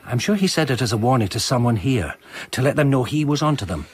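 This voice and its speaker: A man's voice: mid-range voice, expressive, fast talker, slightly rough. An actor playing an earnest, persistent ex-serviceman and amateur sleuth, courteous but probing, in a radio drama. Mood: urgent, earnest. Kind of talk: British radio drama acting.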